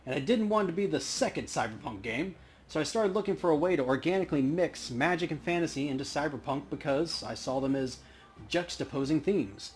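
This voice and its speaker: A man's voice: fairly high pitch, expressive, brisk, a little hiss or hum, lightly acted. A man playing the ghost of an old veteran storyteller in a video game, rambling and wry. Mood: reminiscing.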